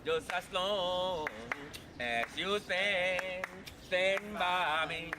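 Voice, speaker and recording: Man singing high and slow through heavy noise. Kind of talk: soulful a cappella singing. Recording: very noisy, clicks.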